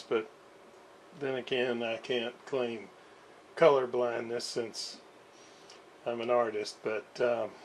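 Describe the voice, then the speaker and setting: A man giving casual, unscripted workbench commentary in a halting way, with pauses and self-deprecating asides. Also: mid-range voice, poor audio. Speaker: host of radio repair videos. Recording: clear background noise.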